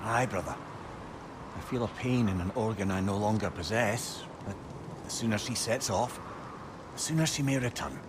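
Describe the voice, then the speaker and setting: An actor with a Scottish accent playing an earnest, eloquent, lovelorn sage in a video game. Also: male voice, fairly low pitch, moderate pace, background noise, lightly acted. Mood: earnest, wistful. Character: eloquent, tender, lovelorn male sage, former scoundrel. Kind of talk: dramatic video game dialogue. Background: music.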